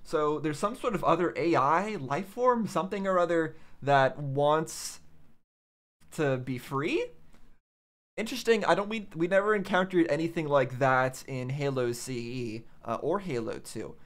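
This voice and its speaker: A man's voice: a little high, expressive, unhurried, a bit bright. A man hosting a reaction video, giving casual game commentary as he questions and puzzles things out aloud.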